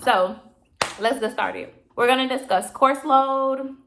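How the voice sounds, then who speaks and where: A young woman from Chicago talks casually into her camera late at night, her voice slightly raspy. She rambles and explains with lots of "um" and "like", friendly and unhurried. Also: high voice, a bit bright. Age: 20s. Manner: chatty, filler-heavy, explanatory. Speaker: vlogger, therapy grad student.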